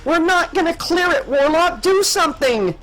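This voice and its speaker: A man's voice: extremely high pitch, very animated, a little slow, a bit bright, soft background music, voice pushed up, full character voice. A man voicing a demanding, profane, frantic female starship boss and mother in an audiobook story. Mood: terrified.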